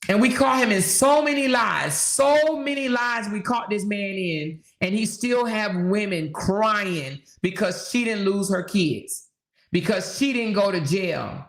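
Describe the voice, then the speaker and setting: A woman's voice: mid-range voice, expressive, measured pace, reverberant. A woman hosting a casual livestream, reading viewer comments and commenting on them emphatically, repeating her points and tossing in rhetorical questions. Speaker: livestream host.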